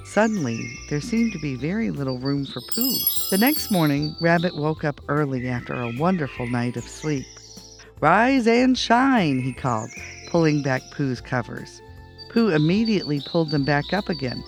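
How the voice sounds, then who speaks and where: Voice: mid-range voice, lively intonation, normal speed, soft background music. A storyteller reading a children's bedtime story aloud as narrator.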